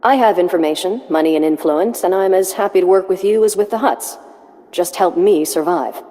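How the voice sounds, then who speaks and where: A woman's voice: fairly low pitch, normal speed, mellow, natural voice. A woman playing a proud, sardonic corporate boss who bargains defiantly in a video game cutscene. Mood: grim, bargaining. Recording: long hall reverb, music underneath.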